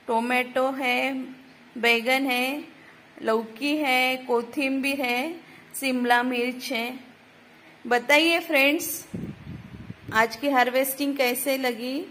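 Woman gardening vlogger, higher voice, unhurried show-and-tell talk to viewers, recorded outdoors on a phone. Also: fairly even intonation, fairly bright tone, a little hiss or hum, low-quality recording. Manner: addresses viewers as friends, points things out. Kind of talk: casual show-and-tell walkthrough. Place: rooftop terrace garden, outdoors. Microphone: phone camera held while filming.

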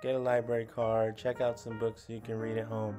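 A man's voice: on the low side, a little fast. Man reading to kids over guitar music. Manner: animated, questioning, encouraging address to young listeners. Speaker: read-along host for children. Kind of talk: picture-book read-along for young children, chatty asides. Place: small indoor room at home.

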